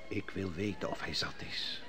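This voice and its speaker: A bitter, vengeful former commander of a radio drama, blunt and grudging. His voice sits low. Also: normal speed, sharp tone, faint music behind. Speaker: deposed army commander. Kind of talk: 1960s radio drama acting.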